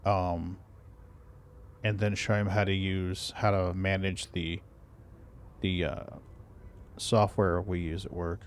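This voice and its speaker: A man's voice: low-pitched, takes it slowly, pauses often, slight background noise. A man streaming a game, chatting casually with a friend, talking to himself and humming idly. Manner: relaxed, talks to himself, hums idly. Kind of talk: casual chat while gaming.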